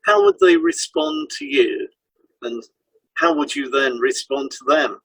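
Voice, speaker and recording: Male podcast co-host, highly varied intonation, husky voice, scripted teaching delivery, explanatory. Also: sing-song, unhurried, a bit bright, dry recording, low-quality recording. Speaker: podcast co-host and hypnotherapy trainer. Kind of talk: scripted educational podcast dialogue, read aloud.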